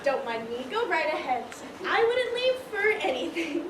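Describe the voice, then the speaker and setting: A woman's voice: very high-pitched, very animated, moderate pace. A woman playing a nosy, arch society gossip with sugary politeness in an amateur stage comedy. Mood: coy amusement. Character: nosy, gleefully coy society lady gossip. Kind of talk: amateur one-act stage comedy acting. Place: stage in a large echoey room. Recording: echoey stage, noisy, far-off mic.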